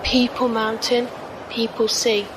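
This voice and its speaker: Actress as reserved squire, highish voice, formal, precise, sci-fi audio drama, noisy ambience behind. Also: female voice, flat delivery, measured pace, lightly acted. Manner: formal, precise wording without contractions. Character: formal, reserved female squire, dryly observant.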